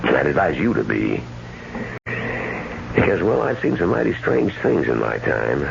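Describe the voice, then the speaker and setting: An actor playing a plain-spoken, folksy western ranch man with a gravelly voice, earnest and cautionary, in an old-time radio drama. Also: a bass voice, big pitch swings, unhurried, exaggerated voice. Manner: folksy, hesitant, earnest warnings. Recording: dull, noisy old radio recording.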